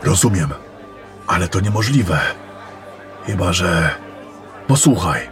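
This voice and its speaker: A man playing a secretive, scholarly adept of magic in a fantasy audio drama, speaking in a formal, measured, courteous way. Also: low voice, expressive, a bit bright, exaggerated voice. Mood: explaining. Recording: music and crowd murmur behind.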